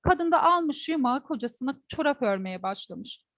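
A woman tells a traditional folk tale in a high voice. She is expressive and addresses her listeners directly with questions. The sound is dry and close. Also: moderate pace, dry recording. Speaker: storyteller; teacher. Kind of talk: oral folk-tale storytelling to an audience.

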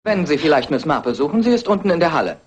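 An actor playing a slyly insinuating gentleman suspect in a German radio crime drama, polite in manner. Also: a little high, sing-song, racing, slightly rough.

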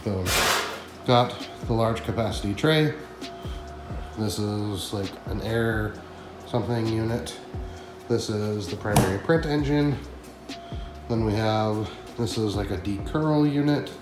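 A man in his thirties walking through his print shop, chatting excitedly as he explains a new machine to his viewers. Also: fairly low pitch, slow pace. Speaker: print shop owner and vlogger. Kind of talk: casual walkthrough vlog. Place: large echoey print shop room with concrete floor. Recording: echoey room with music over it. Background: background music.

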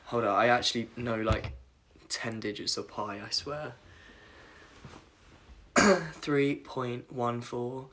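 A young man with a croaky voice chatting casually on a phone livestream from his bedroom at night, reading comments aloud and apologizing often. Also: mid-range voice, fairly bright tone, a little hiss or hum. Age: young adult. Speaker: livestream host. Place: dim bedroom at night, indoors. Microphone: phone camera at arm's length.

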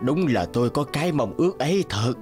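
Kindly old male puppeteer, voiced by a man, cartoon fairy tale, mid pitch, measured and humble. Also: big pitch swings, darkish tone, faint music behind, natural voice. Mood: wistful.

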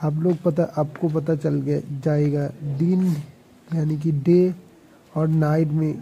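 A man's voice: on the high side, level tone, slow, dull audio, a little hiss or hum. A man, a travel vlogger, chatting casually to viewers while walking outdoors, rambling and correcting himself as he goes. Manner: rambling, self-correcting, addresses viewers directly. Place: outdoor hill town square, busy at night. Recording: music playing loudly in background.

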